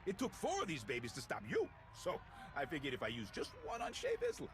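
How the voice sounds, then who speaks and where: A man's voice: on the high side, huge pitch swings, a little fast. A man acting a cocky, scheming mercenary in a video game, with a slangy, wheedling, theatrical delivery. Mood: smug. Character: cocky, scheming male mercenary, cowardly when cornered. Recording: noisy outdoor game ambience.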